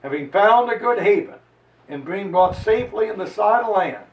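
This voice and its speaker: A man giving a solemn, theatrical dramatized reading of a historical narrative, declaiming like a preacher with dramatic pauses and swells. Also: sits high, lively intonation. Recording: roomy echo, distant microphone.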